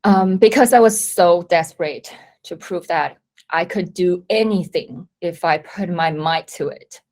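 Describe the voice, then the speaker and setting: A woman in her thirties, originally from Taiwan, giving a measured, reflective presentation at a company event. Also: lowish voice, darkish tone. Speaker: company talk speaker. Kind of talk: prepared presentation talk.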